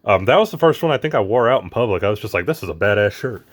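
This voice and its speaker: A man with a hoarse, raspy voice from losing it, chatting casually as the host of an unboxing vlog, rambling with lots of fillers. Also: fairly low pitch, lively intonation, racing, a little hiss or hum. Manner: rambling, lots of ums and uhs.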